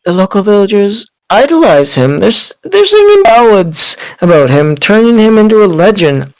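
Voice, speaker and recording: High-voiced, very animated man. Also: normal speed, rough recording. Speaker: player voicing characters in a party game. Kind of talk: casual banter with animated in-character readings.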